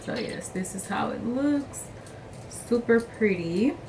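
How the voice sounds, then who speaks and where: A woman's voice: on the high side, animated, takes it slowly. A young woman, chatting upbeat to viewers and calling them y'all. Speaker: hair vlogger. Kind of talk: casual vlog chat. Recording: distant mic with light room noise.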